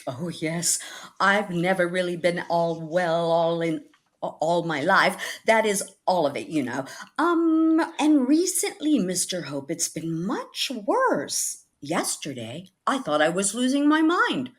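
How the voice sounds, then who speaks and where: Ailing, scatterbrained spinster lady, female actor, 1940s radio comedy: doleful yet chirpy, rather low, highly animated intonation. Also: takes it slowly. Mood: doleful, rambling. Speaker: actress in a radio comedy recreation.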